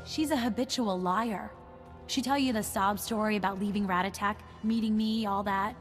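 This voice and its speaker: A woman's voice: mid-range voice, moderate pace, soft background music, lightly acted. A woman playing a tough, wry outlaw in a video game, blunt, streetwise and slangy. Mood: resigned, blunt.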